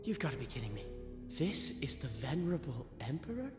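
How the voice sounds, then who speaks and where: An actor voicing a proud, hot-headed young fighter in a video game, haughty, theatrical and sarcastic. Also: male voice, mid-range voice, sing-song, a little fast, lightly acted. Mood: outraged. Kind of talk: dramatic game cutscene acting. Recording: reverberant game audio with music behind. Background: music.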